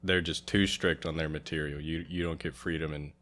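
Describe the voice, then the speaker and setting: A man's voice: rumbling low, steady tone, brisk, fairly bright tone. A man speaking as a guest reviewer in a casual discussion, agreeable and plain-spoken.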